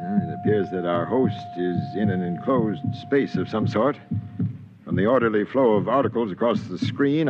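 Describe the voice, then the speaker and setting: A stern military commander from an alien force in a vintage radio drama. He gives orders imperiously, in clipped phrases that expect obedience. The old recording is dull and very noisy. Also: on the low side. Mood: measured, focused. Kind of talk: old-time radio science fiction acting.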